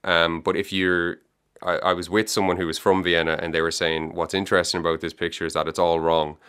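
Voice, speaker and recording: A man's voice: low voice, flat delivery, brisk, fairly bright tone, clear, resonant tone. An Irish man, a young adult author, answering interview questions thoughtfully, with many restarts and yeahs. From Ireland. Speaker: author guest.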